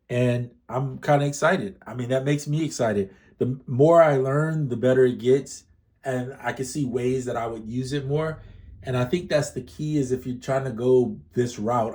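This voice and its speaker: A man's voice: mid-range voice, mellow. A man casually talking through a music gear demo, rambling and correcting himself with lots of fillers, sounding a little congested. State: slightly congested.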